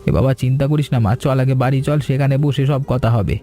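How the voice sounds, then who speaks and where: A kind, steady cartoon husband and father, voiced by a man, calm and reassuring toward his family. His voice is mellow and warm at a middle pitch. Soft music plays behind him. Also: fairly quick, lightly acted. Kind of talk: cartoon voice acting.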